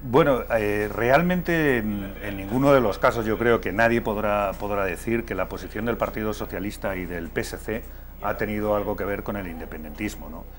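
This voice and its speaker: A man's voice: on the low side, normal speed, slight background noise. A man from Madrid speaking as a political guest in a televised debate, hesitating with many fillers and restarts. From Madrid, Spain.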